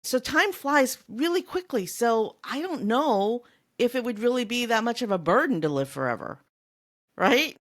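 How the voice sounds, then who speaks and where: A woman co-hosting a podcast, chatting casually and in an animated way about a book. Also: fairly high pitch, normal speed. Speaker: podcast co-host.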